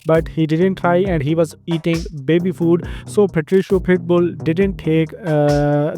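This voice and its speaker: A man with an Indian accent and a slightly high, somewhat mellow voice presents sports news informally. His delivery is halting and hesitant. Faint music plays behind him. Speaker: host of a fight news show. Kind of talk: informal news roundup to viewers.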